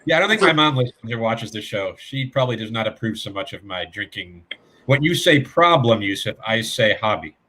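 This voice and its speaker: A man's voice: on the low side, expressive, a little fast, pushed and bright. A man co-hosting a casual online drinks show, easygoing and self-mocking. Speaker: co-host.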